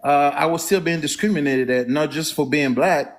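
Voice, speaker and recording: A man from the Congo whose first language is French, speaking with a Congolese French accent as a caller making his points in an earnest, measured, orderly way. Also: fairly high pitch. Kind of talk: earnest call-in opinion.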